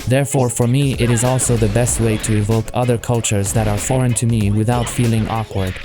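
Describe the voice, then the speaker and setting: A man's voice: medium pitch, steady tone, brisk, over music. French-accented man narrating a devlog. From France. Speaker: solo game developer.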